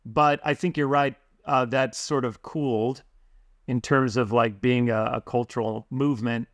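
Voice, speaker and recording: A man hosting an interview, speaking in a relaxed way and thinking aloud with fillers. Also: medium pitch, unhurried, no room sound. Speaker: podcast host. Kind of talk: conversational interview.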